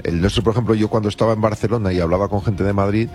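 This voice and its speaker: Quick-talking guy with a lowish voice, dry humor, studio chat. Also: steady tone, a little mellow, faint music behind. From Burgos.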